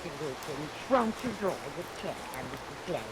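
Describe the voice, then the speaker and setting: An actress playing a comfortable, coquettish widow who runs a pie shop, fussing and gushing in vintage radio melodrama. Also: female voice, deep voice, lively intonation, moderate pace. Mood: gushing, coy. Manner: gushing, solicitous. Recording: hissy, noisy vintage radio recording.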